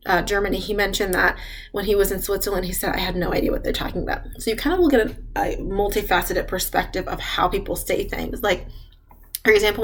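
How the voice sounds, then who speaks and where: A woman's voice: medium pitch, fairly bright tone. A young American woman giving casual advice about studying abroad, chatty and full of fillers with frequent little laughs. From United States.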